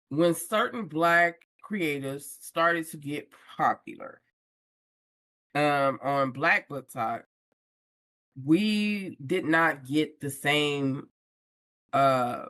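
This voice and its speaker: Slow-talking candid woman guest. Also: low-pitched, fairly bright tone, no echo. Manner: candid, slang-laced storytelling. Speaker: podcast guest, book influencer. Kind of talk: casual conversation.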